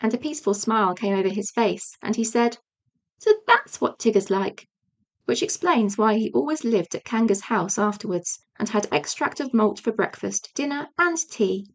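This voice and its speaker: A woman's voice: mid-range voice, animated, normal speed, few pauses. A woman reading a children's storybook aloud as audiobook narration. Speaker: audiobook reader.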